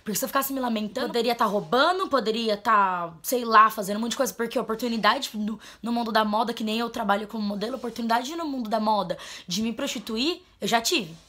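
A young woman, talking into a camera in a small bedroom. Her voice sits a bit high, and she is blunt and emphatic. She drops low, then turns loud to drive a point home. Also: quick pace, hardly any pauses. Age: 20s. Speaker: vlogger. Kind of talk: heartfelt vent to camera. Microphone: camera mic at close framing.

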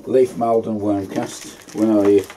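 A man's voice: fairly low pitch, little pitch movement, takes it slowly, mellow, slight background noise, low-quality recording. Homely man in his fifties. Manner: chatty, homely, plain-spoken. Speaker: allotment vlogger. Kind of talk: casual gardening vlog narration. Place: inside a greenhouse on an allotment.

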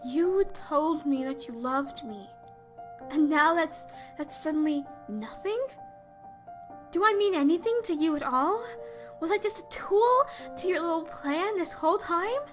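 A teenage girl voicing a lonely, anguished young girl in a halting, emotional dramatic monologue. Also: sits high, animated, soft background music. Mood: hurt.

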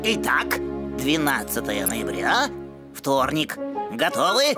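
An actor voicing a brainy, excitable, bookish boy inventor in an animated cartoon. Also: medium pitch, slow pace. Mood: businesslike. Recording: music under the voice.

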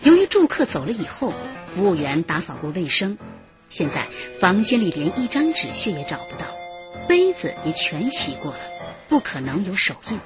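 Female narrator for police radio drama: serious, expressive mid-pitched delivery, music underneath. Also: normal speed. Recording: dull sound under loud music.

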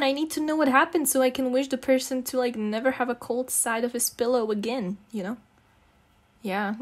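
A young non-native English-speaking woman in a casual, intimate girlfriend ASMR roleplay, talking in a slangy, teasing, coaxing way with giggles. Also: fairly high pitch. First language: not English. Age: young adult.

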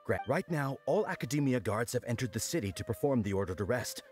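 A man's voice: medium pitch, animated, fast talker, darkish tone, soft background music, natural voice. An actor playing a timid young male scholar who stammers and speaks hesitantly and apologetically. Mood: nervous.

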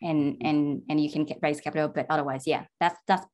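A young woman with a notably low voice speaks as a startup founder on a panel. She talks haltingly, full of fillers and restarts. She is heard over a video call. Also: fairly even intonation, a little mellow, slight background noise. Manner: hesitant, many fillers and restarts. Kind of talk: panel discussion answer. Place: home room with virtual background.

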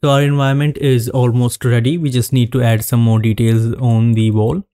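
A man narrating a screen-recorded 3D modeling tutorial, giving calm step-by-step instructions with frequent pauses. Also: medium pitch, clean tone, no echo, clean studio sound.

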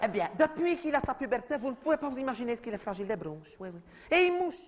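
A man's voice: very high-pitched, sing-song, quick pace, full character voice. A man voicing a chatty, oblivious middle-aged mother, rambling and gossipy in a comedy sketch. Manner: rambling, gossipy, repeats "oui, oui", talks over others.

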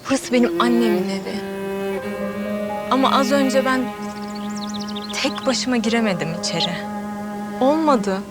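An actress plays a young woman in a television drama, earnest and vulnerable as a grieving daughter. Her voice sits fairly high and she speaks naturally, with prominent music underneath. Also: measured pace, pauses often, lightly acted. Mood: pained, pleading. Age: young adult. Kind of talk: TV drama acting, naturalistic dialogue.

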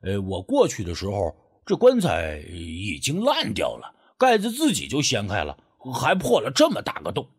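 A man's voice: fairly low pitch, huge pitch swings, moderate pace, hardly any pauses, breathy edge, full character voice. A man playing a sly, sheepish rural man in an audiobook, stammering and wheedling as he angles for money. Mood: sheepish, hesitant. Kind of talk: acted audiobook dialogue.

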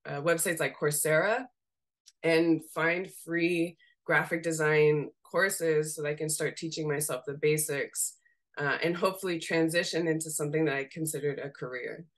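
Young woman, low voice, bit raspy today, laughs a lot, chatting on a video call from home. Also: moderate pace. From Seattle. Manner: laughs often while talking, relaxed and candid. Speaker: guest, graphic designer. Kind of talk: casual interview chat. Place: home room.

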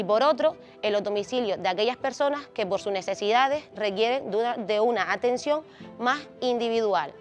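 A young woman with a Canary Islands Spanish accent presenting a community program to camera in a measured, scripted way on a dark theater stage. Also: on the high side. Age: young adult. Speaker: social program facilitator presenting.

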